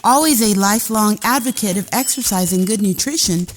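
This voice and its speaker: A woman's voice: mid-range voice, fairly quick, close to an everyday voice. Woman as 1930s lady reporter, noisy background. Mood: solemn, admiring. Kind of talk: radio theater acting, scripted narration.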